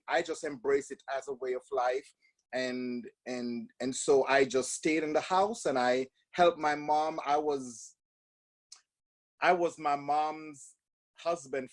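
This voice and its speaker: A man with a Jamaican accent, in his fifties, talks through his upbringing as an interview guest. He speaks thoughtfully and loosely, circling back on his words with restarts and fillers, at a mid-range pitch for a man. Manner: reflective, storytelling with frequent restarts and fillers. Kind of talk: casual interview talk.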